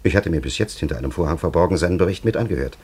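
A man's voice: low-pitched, lively intonation, racing, a little mellow, slightly rough. An actor playing an ancient, cunning and patronising time traveller in a radio play, telling his story in a solemn, elaborate, theatrical way. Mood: indignant, agitated.

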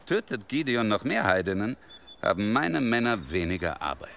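A man's voice: lowish voice, sing-song, normal speed, vocal fry, fairly bright tone, slight background noise, exaggerated voice. A man in a German radio drama playing a sadistic, cunning henchman who speaks in a mocking, menacing, sardonic way. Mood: mocking, calculating. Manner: taunting, sardonic, toying with the listener.

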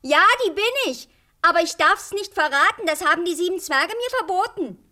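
A woman's voice: very high voice, animated, moderate pace, bright, forceful tone, full character voice. A woman playing a naive, chatty, girlish princess in a fairy-tale radio play for children, artless and earnest.

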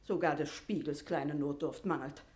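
A woman's voice: low voice, moderate pace, darkish tone, close to an everyday voice. An actress playing a loyal, indignant attendant of a captive queen, declaiming formal, impassioned verse in a radio drama. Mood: bitter lament. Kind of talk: classical German verse stage drama for radio. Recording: roomy, echoing radio-play sound.

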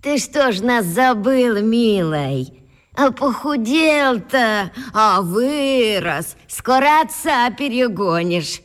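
An actress playing a fond, chatty older cloakroom attendant with a drawn-out, doting manner in a children's radio play. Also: female voice, on the high side, lively intonation.

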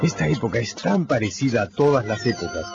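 A man's voice: mid-range voice, big pitch swings, a little fast, vocal fry. A man narrating a radio drama from a script in a theatrical, solemn manner, with music behind him. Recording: dull radio sound with prominent music.

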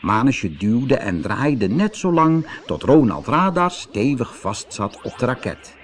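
A man's voice: mid-range voice, very animated, unhurried. A man narrating a scripted children's story as an audiobook reader.

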